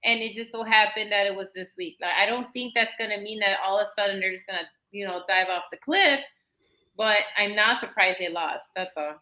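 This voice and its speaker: A woman's voice: medium pitch, brisk, sharp tone. A woman panelist giving football opinions in casual chat, talking in long runs full of "like" and "you know what I mean". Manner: talkative, filler-heavy, lots of "like" and "you know". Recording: echoey room.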